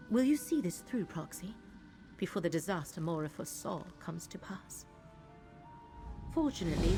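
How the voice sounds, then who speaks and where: An actress playing a grave, troubled woman mystic in a fantasy video game, speaking in a measured, formal way. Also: mid-range voice, close to an everyday voice. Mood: solemn warning. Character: grave, troubled woman mystic serving an eldritch fate god.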